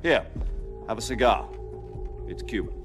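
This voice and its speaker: An actor playing a wry, scheming commanding officer in a video game cutscene, with a measured, sardonic way of briefing. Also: male voice, on the low side, expressive, over music. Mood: wry, confiding.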